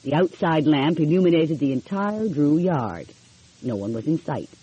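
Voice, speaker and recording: A woman narrating an audiobook in an expressive storytelling style. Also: low voice, moderate pace, breathy edge. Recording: dull, lo-fi audio.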